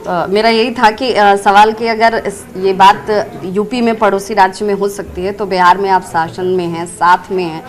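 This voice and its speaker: A woman's voice: medium pitch, steady tone, normal speed, hardly any pauses. A woman working as a TV news interviewer, putting long, pressing questions in a political interview.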